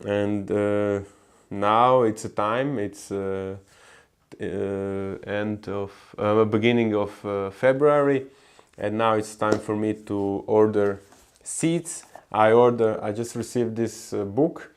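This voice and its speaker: A young man from Croatia with a Croatian accent, talking casually to camera about gardening, halting often with fillers and restarts. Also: on the low side, steady tone, clear, resonant tone. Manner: hesitant, many fillers and restarts. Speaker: gardening vlogger.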